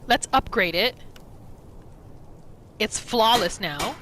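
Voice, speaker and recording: A woman's voice: mid-range voice, lively intonation, slow pace, a bit bright, a little hiss or hum. A woman gaming YouTuber giving casual, story-like commentary as she narrates a roleplay playthrough. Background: game music.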